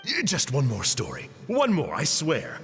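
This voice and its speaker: A genteel, eager stranger from a video game, full of exaggerated wonder. His mid-pitched voice swoops hugely and lingers slowly over words, with music behind. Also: huge pitch swings, fairly bright tone, long reverb tail, full character voice. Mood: coaxing. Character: bespectacled man, eager, theatrically amazed outsider.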